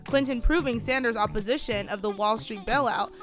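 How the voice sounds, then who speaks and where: A woman's voice: on the high side, brisk. A woman in her twenties with an American accent, hosting a radio show with scripted, sassy, opinionated political commentary. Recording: music bed behind voice.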